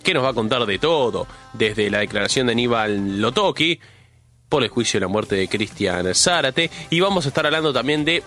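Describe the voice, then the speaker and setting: A man's voice: medium pitch, expressive, moderate pace, bright, forceful tone. A man with a Buenos Aires accent hosting live radio, running through the news conversationally with his own opinions, repeating phrases for emphasis, while suffering from a cough and a cold. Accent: Argentine, Buenos Aires. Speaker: radio host. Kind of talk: live radio show opening, news rundown with commentary. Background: music bed.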